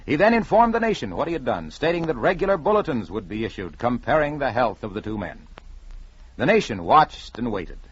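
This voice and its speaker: A man narrating a scripted old-time radio story with measured, dramatic delivery on a vintage recording. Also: mid-range voice, sing-song, husky, highs cut off.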